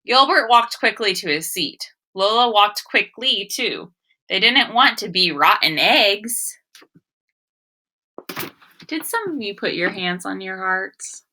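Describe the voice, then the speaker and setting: A woman's voice: medium pitch, lively intonation, takes it slowly, pushed and bright. A woman teacher giving a read-aloud phonics lesson, speaking directly to children in an instructional way and sounding words out with emphasis. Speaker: elementary school teacher.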